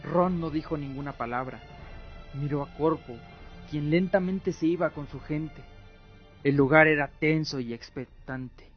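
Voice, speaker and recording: Narrator for audio drama: man, fairly high register, dramatic storytelling read, somewhat dull lo-fi recording. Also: measured pace. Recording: muffled audio with music. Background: faint music.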